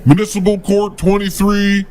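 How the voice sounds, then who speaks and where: A man playing a formal court bailiff in a comedy cartoon, announcing proceedings loudly. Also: high-pitched, level tone, normal speed, slight background noise, close to an everyday voice. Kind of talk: comedy cartoon character acting.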